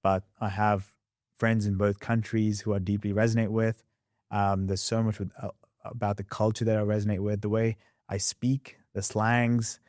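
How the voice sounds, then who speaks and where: A young man with an accent blending Australian and English speech tells his story as a podcast guest. His voice sits low and comes across dry, with no room around it. He is lively and quick, acting out scenes as he talks. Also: dry recording. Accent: mixed Australian and English. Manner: animated, quick storyteller who acts out past scenes. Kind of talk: candid interview storytelling.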